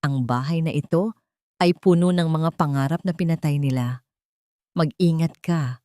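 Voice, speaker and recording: A woman's voice: low voice, normal speed, dark tone, dry recording, lightly acted. A woman playing a heartbroken, proud young wife in a drama story, speaking in a firm, defiant, dignified way. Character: wronged, proud, heartbroken young wife standing her ground.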